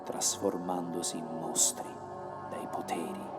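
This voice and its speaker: A man's voice: low-pitched, completely flat delivery, a little slow. A man performing ominous scripted narration for a motion comic. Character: ominous narrator of an infernal demon world. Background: loud music.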